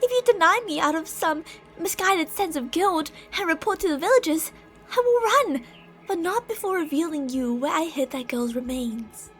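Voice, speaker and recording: A woman's voice: very high voice, moderate pace, fairly bright tone, soft background music. A woman voicing a possessive, delusional lamia monster in an ASMR roleplay, speaking in a haughty, theatrical, sweetly gaslighting way. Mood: coaxing, threatening.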